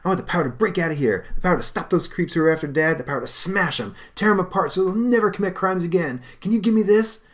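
A man voicing a hot-headed, angry young comic-book hero who shouts fast and loud. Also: sits high, very animated, vocal fry, voice pushed up, exaggerated voice. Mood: enraged.

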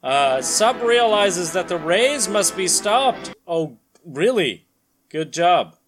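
A man's voice: high voice, sing-song, takes it slowly, noisy background. A man hosting a casual comedy read-along, riffing between bits of dramatic comic narration. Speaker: podcast host and narrator.

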